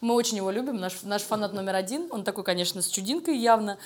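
A woman speaking as a studio creative director being interviewed, explaining things at length in an informal, expressive way. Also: mid-range voice, quick pace. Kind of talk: casual interview talk.

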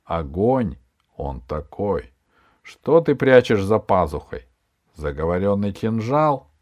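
A man voicing a proud, passionate female djinn in a storybook tale, calmly defiant. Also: fairly low pitch, slow pace. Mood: calm defiance. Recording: music behind the reading.

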